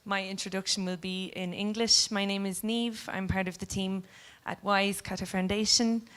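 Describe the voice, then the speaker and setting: A woman introduces a conference session in a polite, formal way, with a steady, flat delivery and a mid-range voice. She pauses on small fillers as she works through her remarks. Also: medium pitch, smooth. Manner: polite, scripted, with some filler. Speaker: event team member introducing the host. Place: conference room.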